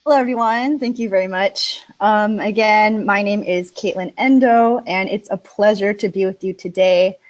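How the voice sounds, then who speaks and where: A woman who teaches English as a second language, giving an upbeat, clearly spoken webinar presentation. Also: mid-range voice, moderate pace, few pauses, dull audio. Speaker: ESL instructor.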